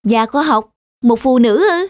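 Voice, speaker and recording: A man's voice: very high-pitched, lively intonation, a little slow, no echo, studio quality. A man voicing a sceptical, proud young scientist in a cartoon, formal in manner. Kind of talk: animated drama dubbing. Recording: music under the voice.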